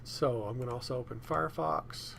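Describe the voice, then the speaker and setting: Gravelly-voiced man giving a casual screencast. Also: mid-range voice, a little fast, fairly bright tone, a little hiss or hum. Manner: unscripted, thinking aloud. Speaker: software tutorial presenter.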